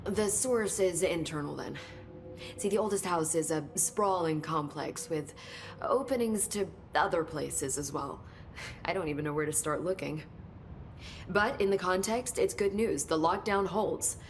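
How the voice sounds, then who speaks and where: An actress playing an earnest, knowledgeable government researcher in a video game, explaining in a measured, understated way. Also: female voice, fairly low pitch, a little hiss or hum. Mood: explanatory. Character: earnest, knowledgeable female government agency researcher, briefing her director. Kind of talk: video game cutscene acting.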